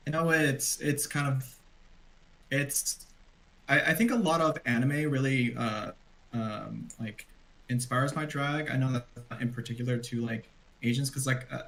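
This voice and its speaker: A man's voice: mid-range voice, a bit bright, low-quality recording. A man who is a drag performer, talking on an online panel in a rambling, hesitant way, full of likes and uhs. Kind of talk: casual online panel chat. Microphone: video call.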